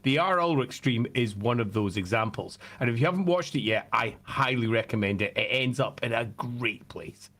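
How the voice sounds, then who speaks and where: A man with a slightly croaky, hoarse voice, talking casually and emphatically as a host explaining fan topics. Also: mid-range voice, normal speed, hardly any pauses, a bit bright.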